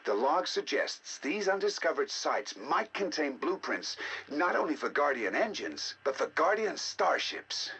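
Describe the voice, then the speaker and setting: An actor playing a learned, eager researcher, narrating game audio logs in a measured, articulate, intrigued manner. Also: male voice, a little high, very animated, bright, forceful tone, slightly rough, heavily acted, rough recording. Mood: fascinated, eager. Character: learned male researcher, eager and fascinated by alien ruins.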